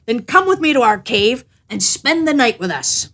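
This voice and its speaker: Storybook giant, acted by a woman, huge male brute, contemptuous, blustering, slow emphatic speech. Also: a little high, sing-song, a bit bright. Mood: challenging.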